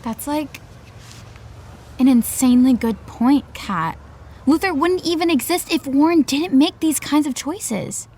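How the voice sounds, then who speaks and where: A woman's voice: high voice, animated, measured pace, mellow. A woman playing a bubbly, sweet, eager young fan in an audio drama, gushing and drawing out her words. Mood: admiring. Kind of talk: naturalistic audio drama dialogue.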